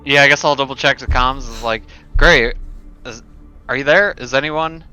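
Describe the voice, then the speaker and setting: A man's voice: medium pitch, moderate pace, sharp tone, smooth, muffled recording. A man playing a tabletop roleplaying game, talking casually and hesitantly in trailing phrases. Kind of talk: casual in-character roleplay chat.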